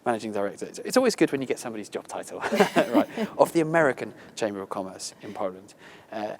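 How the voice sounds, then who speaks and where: A low-voiced man hosting an interview, chatty and quick with jokey asides. A faint hiss of background noise sits under his voice. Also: lively intonation. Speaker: show host.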